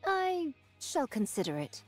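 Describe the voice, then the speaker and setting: A woman playing a composed, stoic warrior woman with formal, measured speech in a video game cutscene. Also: high-pitched, huge pitch swings, exaggerated voice. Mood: calm, fond. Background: music.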